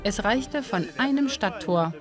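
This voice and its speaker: Woman, documentary narrator, measured delivery, clear music bed underneath. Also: medium pitch, animated. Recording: narration over loud music.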